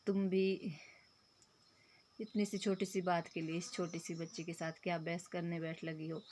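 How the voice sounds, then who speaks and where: A hoarse woman voicing a mild middle-aged husband who gently chides his wife, in a lower, quieter voice. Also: level tone, normal speed, slight background noise, lightly acted. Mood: chiding. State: hoarse throat.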